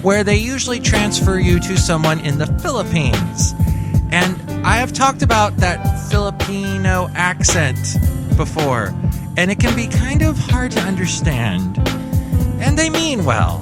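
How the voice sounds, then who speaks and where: Male podcast host, lively comic rambler, light for a man, loud music bed. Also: sits high, unhurried. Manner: rambling, self-mocking, lots of asides. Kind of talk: comic monologue.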